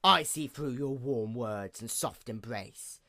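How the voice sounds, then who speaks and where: A performer voicing a bitter, vengeful pony goddess of the night in a grandiose, formal dramatic monologue that moves between outbursts and hushed menace. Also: mid-range voice, slow. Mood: scornful, brooding. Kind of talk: dramatic reading, theatrical villain monologue.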